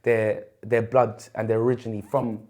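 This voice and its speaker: A man's voice: fairly low pitch, flat delivery, moderate pace, darkish tone. Chatty young man from Colombia, raised. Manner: chatty storyteller, many restarts and fillers. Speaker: podcast guest. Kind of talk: casual interview chat.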